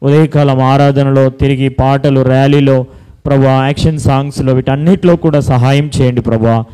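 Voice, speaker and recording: A man's voice: medium pitch, steady tone, moderate pace, warm tone, clear, resonant tone, rough recording. A man leading a children's Bible school, teaching and praying in simple, instructive phrases. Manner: instructing, repeats simple commands and questions. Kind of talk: preaching to children and leading prayer.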